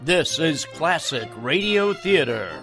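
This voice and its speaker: A man's voice: on the high side, animated, slow, vocal fry, fairly bright tone, soft background music. A man giving a scripted promotional announcement as a radio announcer.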